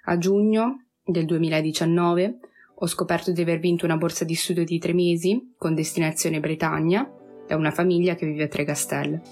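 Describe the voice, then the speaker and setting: A woman's voice: on the low side, steady tone, normal speed, a little mellow, soft background music. An eighteen-year-old woman, a student from Italy, steadily introducing herself and explaining her plans. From Italy, Liguria. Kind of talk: self-introduction.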